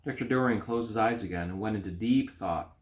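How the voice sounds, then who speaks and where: A man reading a children's story aloud in an expressive, animated way. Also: lowish voice, fairly quick.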